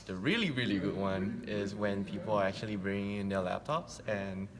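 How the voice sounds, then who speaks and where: Low-voiced man from Singapore. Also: moderate pace, in a large hall. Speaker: interview guest, workshop leader. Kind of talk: casual conversational interview. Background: crowd chatter.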